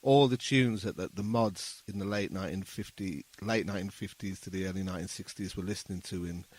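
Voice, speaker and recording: A man's voice: sits low, fairly even intonation, fairly quick, a little mellow. A man speaking casually and earnestly as an interview guest, modest and reflective.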